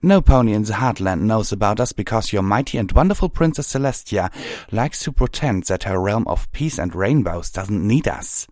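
Storybook warrior mare, played by a male narrator: proud, scornful, hot-tempered, pitched up, close dry sound. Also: lively intonation, normal speed, a little mellow, dry recording, lightly acted. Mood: angry, defiant. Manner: hissing, muttering, grousing. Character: fierce scornful proud female warrior pony.

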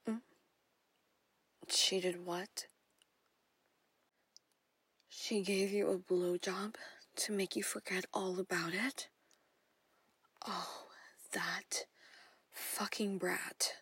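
A woman's voice: mid-range voice, a bit bright, no echo, exaggerated voice. A woman in a whispered audio roleplay, playing a jealous, possessive stepmother who coaxes and controls. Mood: coaxing, concerned, then furious. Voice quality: breathy whisper. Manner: intimate whispering, coaxing and controlling.

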